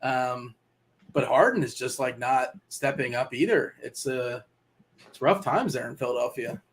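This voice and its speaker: A man co-hosting a live sports betting stream, chatty and rambling, quick to laugh. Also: medium pitch. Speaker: betting show co-host. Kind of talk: casual live-stream chat.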